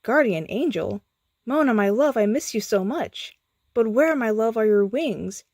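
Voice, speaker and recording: Woman voicing an earnest, distraught storybook hero, raised pitch. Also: lively intonation, measured pace, a little mellow, dry recording, clean studio sound. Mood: lovestruck, amazed. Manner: exclaiming, pleading. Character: big hairy male hero, earnest, distraught, bewildered.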